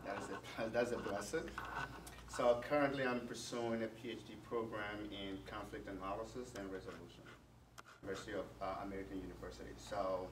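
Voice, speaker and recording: A man speaks in a lowish, earnest and emphatic voice. He is picked up by a distant mic in a roomy space, and noise sits underneath. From Ghana. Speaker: panelist. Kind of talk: talk show panel introduction. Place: studio.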